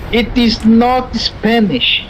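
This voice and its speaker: A man's voice: very high voice, a little hiss or hum, rough recording. Brazilian man explaining earnestly in English. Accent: Brazilian Portuguese. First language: Portuguese. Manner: earnest, halting, explaining carefully. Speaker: moderator on a voice call.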